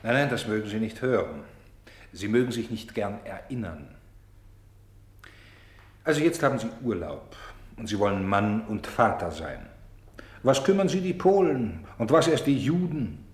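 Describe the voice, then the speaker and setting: A man's voice: lowish voice, very animated, moderate pace, long pauses, echoey room. A man playing a persistent, accusing interrogator in a German radio play, like a voice of conscience, with probing, sardonic, pointed delivery. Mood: mocking, sardonic.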